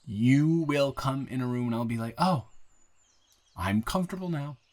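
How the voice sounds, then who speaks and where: A man playing an earnest, grateful young man shyly confessing love to a friend in an intimate, halting, improvised audio roleplay. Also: mid-range voice, lively intonation, close to an everyday voice. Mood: tender, grateful. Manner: halting, rambling, earnest, breaking into soft laughs.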